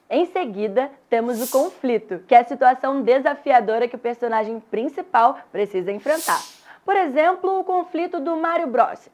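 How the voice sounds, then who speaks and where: A woman with a Brazilian Portuguese accent narrating a scripted online lesson in a didactic way, speaking straight to the students. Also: on the high side. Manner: didactic, addresses students directly. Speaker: teacher presenting an online course.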